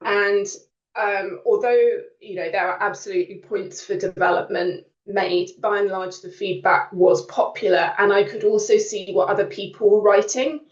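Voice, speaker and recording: A woman's voice: mid-range voice, fairly even intonation, normal speed, distant mic. A woman talks informally as a student at an online open day, in a reflective, explanatory way. She sounds set back from the microphone in a roomy space. The call audio is slightly dull. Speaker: creative writing student on a panel. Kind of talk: informal testimonial talk at a virtual open day. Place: indoor room on a video call.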